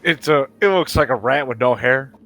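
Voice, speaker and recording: A man's voice: mid-range voice, moderate pace, fairly bright tone. A man chatting casually in character as a tabletop game player.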